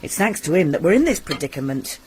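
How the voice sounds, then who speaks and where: An actress playing a sharp-tongued, worried wife who runs a failing lodging house in a radio drama, nagging her husband. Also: low-pitched, big pitch swings, noisy background. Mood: scolding. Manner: nagging, scolding, brisk. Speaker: wife of Robert Bunting.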